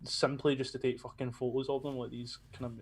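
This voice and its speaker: A man's voice: mid-range voice, flat delivery, brisk. Blunt, sweary man. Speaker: podcast host. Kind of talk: casual chat.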